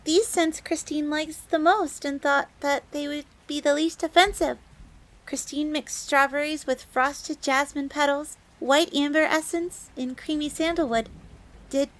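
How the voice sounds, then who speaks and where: Sweet young fox maid for audio drama, played by a woman: high-pitched, eager, deferential, refers to herself by name. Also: fairly bright tone, rough recording. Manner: speaks of herself in third person, deferential. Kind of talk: audio roleplay drama acting.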